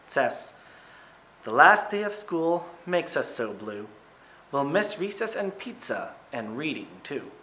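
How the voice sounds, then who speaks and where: Guy teacher doing a kids book read aloud, bright punchy voice, expressive, bit of noise in the background. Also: a little high, a little slow, poor audio. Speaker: teacher reading aloud to pupils.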